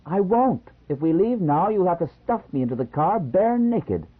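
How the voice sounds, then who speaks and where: Brief: male narrator puts on a tearful, stubborn young wife, high and pleading, lo-fi phone-like audio. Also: measured pace, slightly rough. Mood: defiant tearful. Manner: pleading, shrill when upset. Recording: tinny phone-like lo-fi sound.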